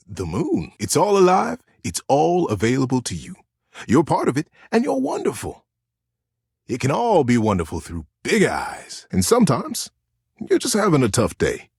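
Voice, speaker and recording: A man voicing a cuddly, earnest talking teddy bear in a video game, giving cozy, folksy encouragement with drawn-out words. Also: on the high side, slightly rough, dry recording, studio quality, heavily acted. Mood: gentle, encouraging. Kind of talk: video game character acting.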